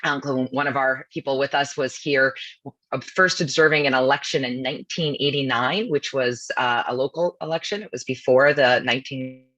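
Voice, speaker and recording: Husky-voiced woman on a video call. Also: a bass voice, steady tone, moderate pace, a bit bright, dry recording. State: husky voice from nonstop talking. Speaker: law professor briefing from abroad. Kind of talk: virtual media briefing.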